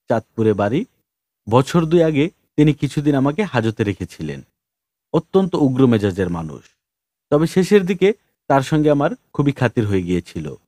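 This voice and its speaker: A man narrates an audiobook story. His mellow voice moves through a lively range of pitch. The sound is dry, with very little room around it. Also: no room sound.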